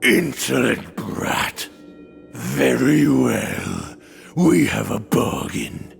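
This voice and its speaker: An actor playing an imperious, scheming demon of plague and rot in a video game, with a gravelly croak and a commanding, theatrical delivery. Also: male voice, mid-range voice, wildly swooping pitch, extremely slow, soft background music, heavily acted. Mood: angry, imperious. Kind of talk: video game cutscene acting.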